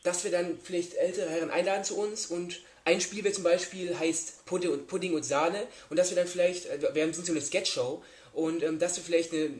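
A man's voice: high-pitched, fairly even intonation, fairly quick. A young adult man making a polite, hesitant sales-style prank phone call from a living room. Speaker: prank caller, show host. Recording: echoey room.

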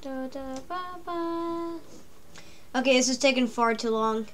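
A high-pitched woman chats through a game in a jokey, rambling way, mostly talking to herself as she plays. Faint music sits underneath her commentary. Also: measured pace. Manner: chatty, jokey, talks to herself. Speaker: let's play gamer. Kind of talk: casual gameplay commentary.

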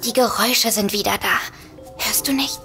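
A woman's voice: a little high, moderate pace, natural voice. An actress playing an uneasy but matter-of-fact young woman in an audio drama. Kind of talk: German audio drama acting. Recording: echoey reverb, faint music.

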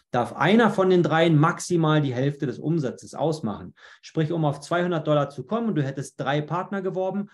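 A man's voice: fairly high pitch, darkish tone. German-speaking man explaining on a screen share. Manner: explanatory, many fillers and restarts. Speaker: webinar presenter.

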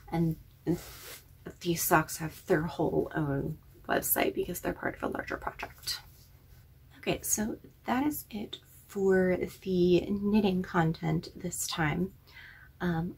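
A woman's voice: fairly low pitch, gravelly, breathy edge. A woman in her thirties chatting casually about her knitting projects at home, self-deprecating and full of ums, recorded on a webcam in a small living room. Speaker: knitting podcast host. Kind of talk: casual knitting vlog chat.

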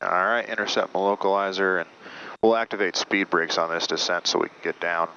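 A man with a low, bright-edged voice narrates as he flies, calling out checklist items in short clipped phrases. The audio is slightly dull, with faint noise from the small cockpit. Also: fairly even intonation, brisk. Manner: clipped checklist call-outs. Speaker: pilot narrating his flight. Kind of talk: cockpit commentary and radio calls. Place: small aircraft cockpit in flight. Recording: noisy, band-limited cockpit audio.

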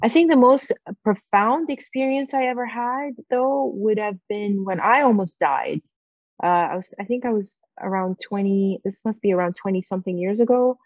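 A woman in her forties, a native Farsi speaker, telling a personal story on a video call with many pauses and fillers. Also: mid-range voice, darkish tone, no room sound. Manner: halting, many fillers and restarts. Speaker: guest. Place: home room with windows. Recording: dull, dry video-call audio.

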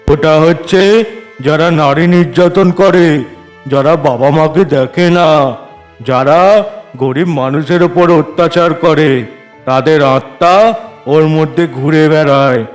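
Cartoon casting: male actor as flustered escort of souls, high male pitch, insistent delivery. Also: steady tone, a little slow, faint music behind, close to an everyday voice. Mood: explaining. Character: harried messenger of death escorting souls through the underworld. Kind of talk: Bengali cartoon voice acting.

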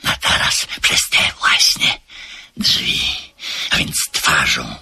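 An actor playing a haunted, frantic widower in a radio play, swinging from hushed whispers to shouts. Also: male voice, very slow, heavily acted. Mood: scheming. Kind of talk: 1970s Polish radio play acting.

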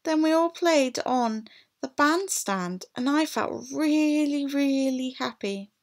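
A woman's voice: high-pitched, lively intonation, takes it slowly, voice pushed up, full character voice. A woman voicing a cheerful, cheeky, outspoken young girl in a storybook, in a chatty manner. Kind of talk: storybook read-aloud.

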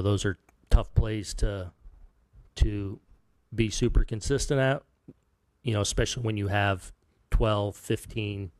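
A man in his fifties, a baseball manager at a postgame press conference, answering in a halting, soft-spoken way with many restarts, sounding heartbroken. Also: on the low side, no echo. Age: 50s. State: heartbroken, subdued. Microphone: table microphone.

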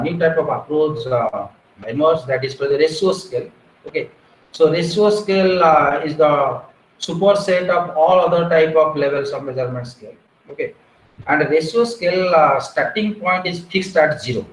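A man, giving an online lecture and explaining step by step with frequent "uh" and "okay". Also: a little high. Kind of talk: online lecture on research methods. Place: small room at home. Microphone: wired earphone mic on a video call. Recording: echoey room with faint background noise.

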